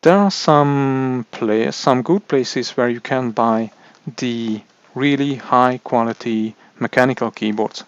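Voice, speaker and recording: A man with a Hungarian accent, a native Hungarian speaker, explaining a product in a halting way with many restarts. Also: mid-range voice, clean tone, muffled recording. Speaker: tech reviewer. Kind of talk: casual explainer monologue.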